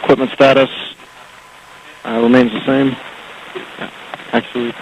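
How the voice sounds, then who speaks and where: Casting: male tower controller, average pitch, matter-of-fact halting delivery, radio phraseology, lightly noisy transmission. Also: little pitch movement. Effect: aviation radio and landline. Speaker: air traffic controller. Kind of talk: radio phraseology, procedural position briefing. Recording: noisy air traffic control audio.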